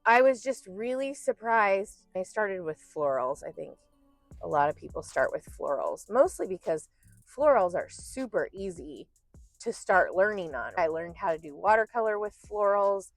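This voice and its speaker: A woman in her forties chatting casually about her art and style, a little croaky and stuffy, swearing now and then and tossing in y'all. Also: medium pitch, animated, normal speed, no room sound, soft background music. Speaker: artist and channel host. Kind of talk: casual storytime chat.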